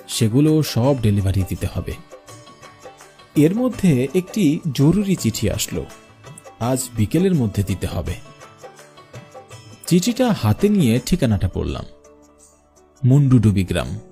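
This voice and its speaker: A man's voice: medium pitch, wildly swooping pitch, unhurried, pauses often, dark tone. A man playing an earnest postmaster, narrating his own ghost story expressively in a scripted cartoon. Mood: brisk, wondering. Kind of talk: first-person scripted cartoon horror narration. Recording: music behind the voice.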